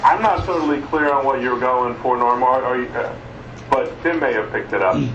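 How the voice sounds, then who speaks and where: A man leading an informal meeting discussion, speaking haltingly as he thinks aloud. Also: medium pitch, a bit bright, slight background noise. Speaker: work group chair running a conference call. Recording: muffled, roomy, distant conference phone line.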